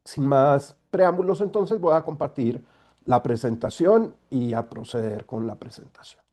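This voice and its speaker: A middle-aged man speaks over a video call, congested from a cold. He lays out his points in a measured, explanatory manner, with a mellow, dark voice. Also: medium pitch. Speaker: guest speaker, environmental lawyer. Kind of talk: online talk.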